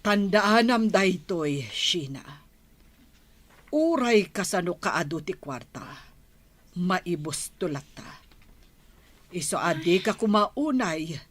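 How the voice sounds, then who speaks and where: A worried, admonishing mother in an Ilocano village radio drama. She speaks slowly in a husky voice with an Ilocano accent, her pitch rising and falling expressively. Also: expressive, no room sound.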